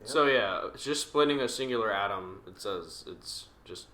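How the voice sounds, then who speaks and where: A man's voice: on the low side, animated, normal speed, pushed and bright. A young man co-hosting a podcast, joking in a dry way in casual chat. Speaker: podcast co-host.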